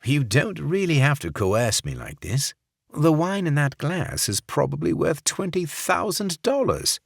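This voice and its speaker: A man voicing an undercover businessman who puts on a fake English accent, smooth and nervously ingratiating. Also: fairly high pitch, very animated, unhurried, no echo, clean studio sound, pitched above the performer's own voice, full character voice.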